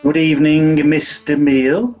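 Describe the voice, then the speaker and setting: A man playing a grumpy, dim-witted upper-class British gentleman detective, reluctant and flustered, in a 1940s radio comedy. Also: fairly high pitch, steady tone, a little slow, soft background music, close to an everyday voice. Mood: awkwardly polite. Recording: muffled, distant microphone.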